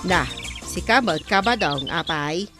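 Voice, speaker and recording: A woman's voice: lowish voice, lively intonation, unhurried, over music. A woman with an Ilocano accent playing a bossy, scolding village councilwoman in a radio comedy drama, nagging and sarcastic as she gives orders.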